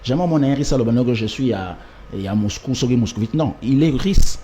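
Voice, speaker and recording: A man's voice: mid-range voice, animated, fairly quick, mellow, a little hiss or hum. A man, giving an emphatic political interview and driving his points home with repetition and rhetorical lists. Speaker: political party representative. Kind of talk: political interview, mixing French and Lingala.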